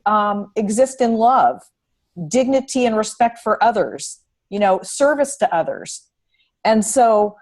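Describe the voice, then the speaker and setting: A woman speaking on a panel, explaining deliberately and using repetition for emphasis in unscripted talk. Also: medium pitch, a little mellow.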